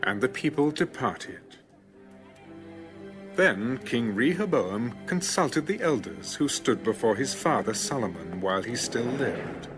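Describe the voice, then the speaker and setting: A man narrating scripture as a scripted drama, in solemn, measured storytelling. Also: mid-range voice, expressive. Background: background music.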